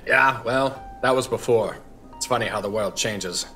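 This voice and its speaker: A man's voice: lowish voice, normal speed, bright, forceful tone. World-weary, drunken hard-boiled newsman. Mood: weary, bitter. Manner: world-weary, old-time noir patter. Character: drunk, disillusioned hard-boiled veteran newspaper reporter. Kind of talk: audio drama acting. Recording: faint music underneath.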